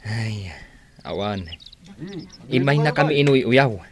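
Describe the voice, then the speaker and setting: A man's voice: mid-range voice, slow pace, a crowd in the background, lightly acted. An actor playing a respectful, gossipy younger village man in a radio-style drama. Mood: casual.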